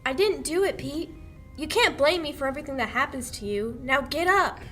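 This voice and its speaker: Young woman as a high-voiced, taunting dame. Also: expressive, brisk, a bit bright, soft background music. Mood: exasperated. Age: young adult. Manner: biting, challenging. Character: sharp, taunting, accusing young woman with nerve. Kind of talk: 1940s radio play acting.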